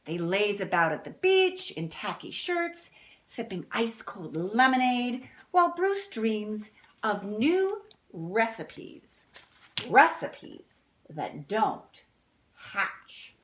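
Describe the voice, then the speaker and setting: A woman's voice: mid-range voice, big pitch swings, slow pace. A woman reading a picture book aloud to young children, adding chatty explanations and questions as she goes. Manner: addresses young listeners directly with simple explanations and questions. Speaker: children's story-time reader.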